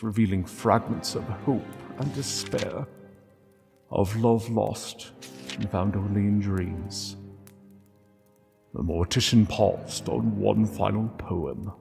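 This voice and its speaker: A man narrating a dramatic scripted story in a solemn, measured way, pausing between phrases. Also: on the low side, vocal fry, a little mellow. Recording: long reverb, music underneath. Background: music.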